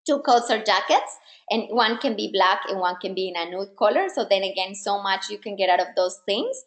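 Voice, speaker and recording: A woman's voice: mid-range voice, animated, a little fast, roomy sound. A woman style coach whose first language is not English, giving an encouraging, direct masterclass to women. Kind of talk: live online masterclass.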